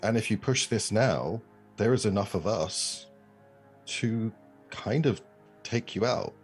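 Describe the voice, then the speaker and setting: A man playing a tabletop game, haltingly improvising what his character does and feeling out his phrases. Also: on the low side, soft background music. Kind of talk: improvised description of a character's actions.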